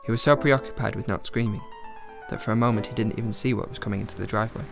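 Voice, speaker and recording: A man giving an intense, deliberate dramatic reading of a story, in the style of a radio audiobook. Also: fairly low pitch, gravelly. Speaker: dramatic reader. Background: music under the voice.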